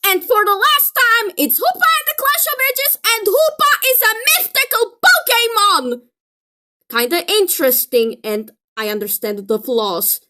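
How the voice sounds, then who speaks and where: A woman's voice: very high-pitched, very animated, a little slow, bright, forceful tone. A woman giving opinionated commentary in an exasperated, emphatic manner. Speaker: video creator. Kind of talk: commentary reacting to a film critique.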